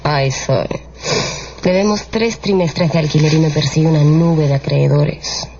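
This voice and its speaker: An actress playing a weary, hard-headed young courtesan and stage star in a radio drama, languid and imperious. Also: female voice, sits low, a little slow, warm tone. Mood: weary, resigned. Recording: muffled audio, faint background noise.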